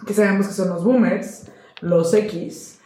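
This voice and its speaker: A woman's voice: medium pitch, steady tone, measured pace, dark tone, slight background noise. A woman in her twenties co-hosting a podcast, joking and laughing as she talks. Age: 20s. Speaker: podcast co-host. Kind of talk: casual podcast chat. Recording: roomy echo, faint music.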